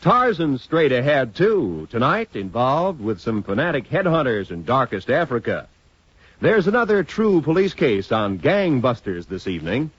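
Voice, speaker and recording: A man's voice: mid-range voice, big pitch swings, moderate pace, breathy edge, dry recording. An American man announcing programmes in the polished promotional style of a 1950s network radio announcer. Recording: dull vintage radio recording.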